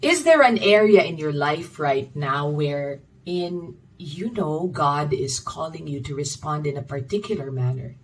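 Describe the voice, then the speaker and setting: Slow, low-voiced woman reading devotionally. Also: animated, far from the mic, slight background noise, low-quality recording. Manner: measured, reflective, with deliberate pauses. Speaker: devotional reader.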